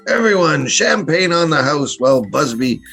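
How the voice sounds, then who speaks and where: Man acting a gravelly, hearty boat captain. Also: on the high side, big pitch swings, moderate pace, fairly bright tone, soft background music, full character voice. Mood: jovial. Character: jovial, generous tour boat captain. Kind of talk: radio play acting.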